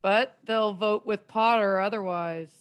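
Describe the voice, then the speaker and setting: A woman's voice: mid-range voice, steady tone, a little slow, lo-fi sound, lightly acted. A woman playing an earnest board member in an amateur radio play. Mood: urgent. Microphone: video call.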